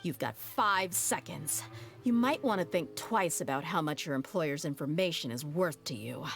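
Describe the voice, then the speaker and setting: Blunt tough merc lady in a game, low voice, cool and steady. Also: normal speed, loud music behind the voice. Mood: menacing. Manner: blunt, steady, coolly threatening. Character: tough, blunt female desert mercenary, young adult. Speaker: game character. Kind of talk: video game voice acting.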